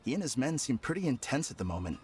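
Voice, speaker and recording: Casting: a man as a gossiping townsman, lively intonation, chatty. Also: mid-range voice, fast, a little hiss or hum, lightly acted. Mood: curious. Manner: chatty, wondering aloud. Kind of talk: game voice acting.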